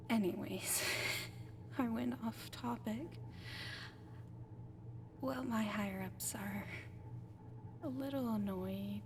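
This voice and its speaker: A woman playing a friendly, apologetic alien caretaker in an ASMR roleplay, speaking gently and haltingly and often slipping into whispers. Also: medium pitch, noisy background. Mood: hesitant, businesslike. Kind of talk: soft-spoken ASMR roleplay addressed to the listener.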